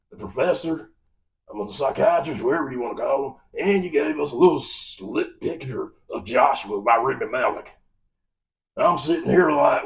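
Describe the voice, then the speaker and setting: A gravelly American man with a slightly higher-pitched voice delivers an opinionated movie rant. He is exasperated and emphatic, with a folksy turn of phrase. He sounds a little way off from the microphone. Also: far from the mic, low-quality recording. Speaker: film review vlogger.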